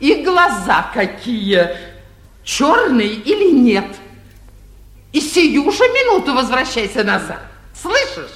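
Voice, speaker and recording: Nosy nagging middle-aged wife, very high voice, wildly swooping intonation, drawn-out theatrical delivery, roomy old radio recording. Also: female voice, very animated, fairly bright tone, full character voice. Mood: impatient nagging. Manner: theatrical, impatient, nagging, exclamatory. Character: fussy, nosy, scolding middle-aged governor's wife, vain mother. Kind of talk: classic Russian stage comedy acting, 1940s radio.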